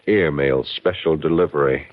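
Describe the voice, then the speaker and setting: An actor playing a smug, scheming, determined advertising executive in a 1970s radio drama. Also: male voice, rumbling low, normal speed, dry recording. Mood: smug, pleased. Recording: dull, dry 1970s radio recording.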